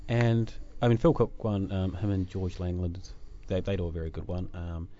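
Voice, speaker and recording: A man's voice: deep voice, flat delivery, moderate pace, mellow, highs cut off. A man with a New Zealand accent hosting a podcast, chatting casually with many fillers and restarts. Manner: chatty, self-deprecating, lots of fillers and restarts. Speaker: podcast host. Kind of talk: casual chat. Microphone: desk microphone.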